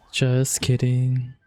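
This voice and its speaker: A woman's voice: very deep voice, a little mellow, breathy edge. A woman giving playful, laid-back casual commentary as a game streamer. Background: game music.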